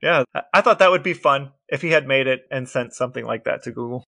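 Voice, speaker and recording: A man co-hosting a podcast, telling a story in a lively, wry way in casual chat. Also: mid-range voice, quick pace, vocal fry. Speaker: podcast co-host.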